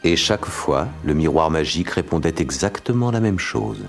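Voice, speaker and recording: A man narrating an animated fairy tale as a storyteller, measured and dramatic. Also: deep voice, gravelly, soft background music. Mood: storytelling.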